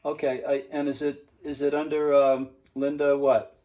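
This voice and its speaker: A man's voice: mid-range voice, flat delivery, normal speed, warm tone, rough recording. Chatty American man in his seventies. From New England, United States. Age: 70s. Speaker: singer and guitarist. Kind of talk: casual chat on a phone call. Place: at home, indoors.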